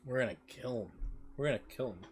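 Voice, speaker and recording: A man's voice: medium pitch, fast talker, a little hiss or hum. A man streaming a video game with informal commentary, thinking aloud as he plays. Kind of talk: casual gameplay commentary.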